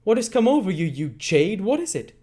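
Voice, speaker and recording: A gruff, bullying robber, as a man reads him. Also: a little high, lively intonation, normal speed, voice pushed up, close to an everyday voice. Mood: angry. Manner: loud, gruff and threatening. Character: brutal, bullying grown male robber. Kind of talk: dramatic read-aloud.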